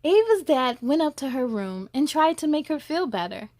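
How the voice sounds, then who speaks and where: A woman's voice: high voice, sing-song, normal speed, darkish tone. A woman hosting a children's story time, reading aloud expressively and speaking to young listeners in an encouraging way.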